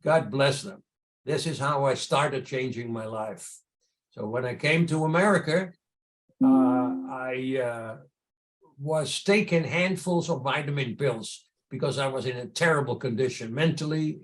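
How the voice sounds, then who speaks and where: A man's voice: mid-range voice, unhurried. An older man with a Dutch accent telling personal stories in a conversational way as an interview guest. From Netherlands. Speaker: podcast guest, entrepreneur and charity founder.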